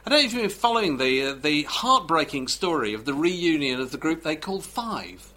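A man's voice: on the high side, very animated, brisk, noisy background. A man hosting a podcast, talking in a wry, jokey way. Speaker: podcast host. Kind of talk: casual chat.

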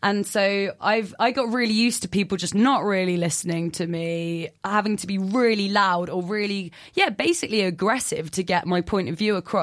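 A woman's voice: on the low side, no room sound, studio quality. A young woman, a punk singer, talking casually in a radio interview with lots of "like" fillers. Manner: chatty, self-deprecating, many "like" fillers. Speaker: punk band singer, guest. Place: radio studio.

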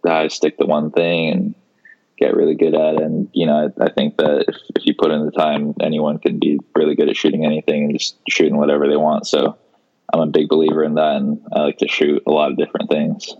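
Young man in his twenties, very low and monotone, relaxed interview guest with lots of restarts, dull lo-fi sound. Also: a little fast. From Seattle, Washington, US. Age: 20s. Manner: laid-back, many fillers and restarts. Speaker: podcast guest, photographer. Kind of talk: casual interview chat.

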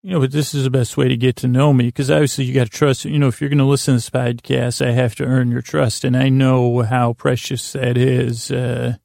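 Creaky, mellow man with an even drone. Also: mid-range voice, dry recording, clean studio sound. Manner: meandering, halting, self-deprecating. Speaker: podcast host. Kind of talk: rambling, soothing bedtime monologue.

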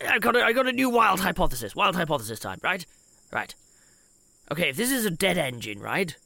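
A man's voice: high voice, sing-song, a little fast, gravelly, bright, forceful tone, no room sound. A man improvising in character as a player in a tabletop role-playing show, wordy and wry, often repeating himself as he reasons.

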